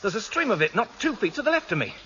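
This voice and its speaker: An actor playing a tense, sardonic boss making a dangerous climb and reporting back in halting, urgent speech, in a radio drama. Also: male voice, high-pitched, big pitch swings, bright, forceful tone, full character voice. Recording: dull, noisy radio drama recording.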